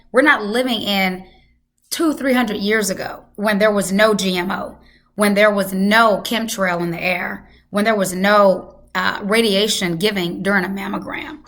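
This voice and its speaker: A woman hosting a health channel, hoarse from losing her voice, talking casually and emphatically to her viewers. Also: medium pitch, a bit bright. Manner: emphatic, repeats for effect. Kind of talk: casual talk to camera.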